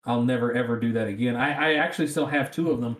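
A man's voice: mid-range voice, fast, a bit bright. A man with a rural Southern American accent, chatting casually. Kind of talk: casual chat. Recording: roomy, echoing sound.

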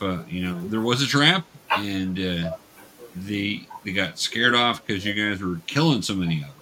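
A man's voice: on the low side, pushed and bright. Man patiently running a tabletop game, noisy recording. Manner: patient, step-by-step explaining. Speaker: game master teaching young players. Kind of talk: conversational tabletop game narration and coaching.

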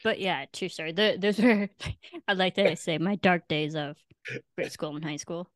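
A woman's voice: lowish voice, steady tone, moderate pace, no room sound, clean studio sound. A young adult woman hosting a podcast, telling stories in a chatty, self-mocking way to a friend. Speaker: podcast host. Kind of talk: casual chat with a friend.

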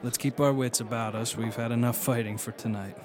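A man's voice: mid-range voice, fairly even intonation, a little fast, close to an everyday voice. A man playing a wry, genial and watchful adventurer in a radio drama, speaking articulately. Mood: grave.

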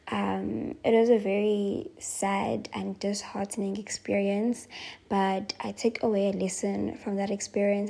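Soft, gravelly woman musing slowly. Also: medium pitch. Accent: South African. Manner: soft-spoken, slow and thoughtful with frequent ums. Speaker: podcast host. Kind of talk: reflective solo podcast talk.

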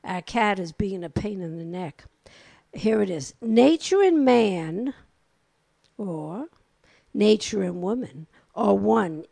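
A woman's voice: medium pitch, creaky, dark tone, dry recording. A woman with a Brooklyn accent co-hosting a community radio talk show, chatting and reading short poems of her own. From Brooklyn, New York. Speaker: co-host.

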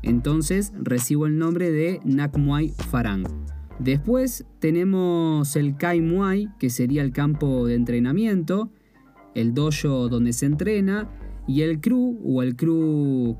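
A young man from Argentina with an Argentine Spanish accent, calmly explaining a martial art and restating points with asides. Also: a little high. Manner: measured, explains terms with "o sea" asides. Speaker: martial arts practitioner presenting. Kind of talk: explanatory presentation. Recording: faint guitar music behind.

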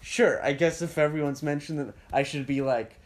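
A man's voice: on the high side, normal speed. A young man from Slovenia, a podcast guest, talking casually with rambling sentences and false starts. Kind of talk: casual conversation.

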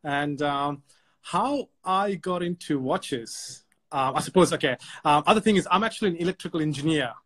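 A chatty man from Sri Lanka tells a story as a podcast guest, his voice sitting a little high. He speaks over a video call and often repeats words as he goes. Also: expressive, normal speed, a bit bright. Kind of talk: casual storytelling.

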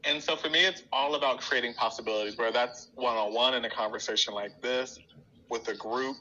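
A young adult guest talking casually in an interview, animated and playful, laughing often and using lots of slang. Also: male voice, mid-range voice, a little fast, dull audio, a little hiss or hum. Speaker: podcast guest.

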